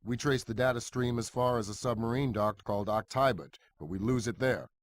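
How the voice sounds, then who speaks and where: An actor playing a brisk, matter-of-fact intelligence commander giving a mission briefing in a video game. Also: male voice, mid-range voice, slight background noise, lightly acted. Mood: businesslike. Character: businesslike male intelligence commander briefing an agent.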